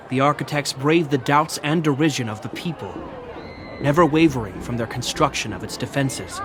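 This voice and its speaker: An actor playing a formal, dutiful captain of a fortress city in a video game, measured and earnest. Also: male voice, mid-range voice, noisy background, lightly acted. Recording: music behind the voice.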